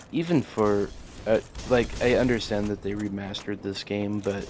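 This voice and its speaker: A man hosting a casual let's play, talking in a monotone and rambling with many fillers. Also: fairly low pitch, slight background noise. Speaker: gaming channel host.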